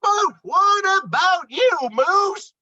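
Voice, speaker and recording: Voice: very high voice, animated, drawn-out words, sharp tone, muffled recording, voice pushed up, exaggerated voice. A woman voicing exasperated animal friends in a children's storybook, scolding and questioning their bossy leader.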